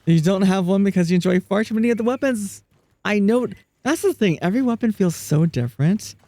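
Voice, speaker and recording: A chatty streamer talking casually through a live game, often breaking into laughter. The voice is gravelly and mellow, and it is caught dry and close with no room sound. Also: medium pitch, lively intonation, a little fast, no echo. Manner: chatty, laughs often, reads chat aloud; frequent restarts and fillers. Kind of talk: casual live-stream chat while gaming.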